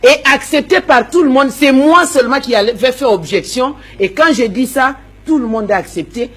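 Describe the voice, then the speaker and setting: Husky-voiced politician, a woman, forceful and repetitive, stumbles on words. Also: sits high, lively intonation, moderate pace. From Democratic Republic of the Congo. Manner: emphatic, repeats words, stammers while searching. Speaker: opposition politician. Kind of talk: interview answers.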